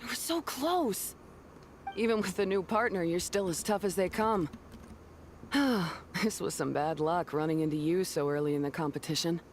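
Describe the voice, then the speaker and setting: A woman's voice: fairly low pitch, normal speed, slight background noise, close to an everyday voice. A woman playing a gracious defeated rival in a video game, proud, good-natured and encouraging. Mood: wry acceptance.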